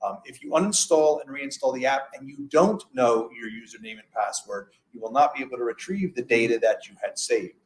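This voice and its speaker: A man with a mid-pitched voice gives a webinar presentation as a product expert. He explains practical steps in a conversational, instructional way. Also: a little fast, few pauses, rough recording.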